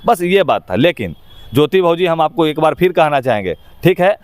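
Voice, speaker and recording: Man, Bihari accent, raised pitch, emphatic colloquial delivery, opinionated interview talk, very dry sound. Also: big pitch swings, brisk, no echo. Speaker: interview guest, actor.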